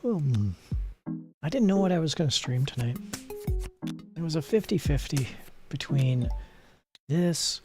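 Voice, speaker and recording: A man streaming a card game, chatting casually in a soft, rambling, self-deprecating way with asides to the game. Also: fairly high pitch, lively intonation, measured pace, long pauses, music in the background. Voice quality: soft, not gravelly at the moment. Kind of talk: casual live-stream chat while playing.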